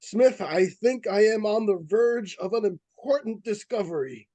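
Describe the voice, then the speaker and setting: Man playing a pompous high-voiced ghost investigator. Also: expressive, warm tone, dry recording. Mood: intent, portentous. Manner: formal, deliberate, portentous. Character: pompous, intent doctor investigating the supernatural. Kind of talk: old-time radio suspense acting.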